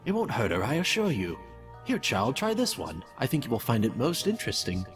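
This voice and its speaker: A man plays a falsely courteous villain pony in an audio story. His genteel, theatrical lines swoop up and down with quiet menace. Music plays behind him. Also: mid-range voice, wildly swooping pitch, brisk. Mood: silky, indulgent.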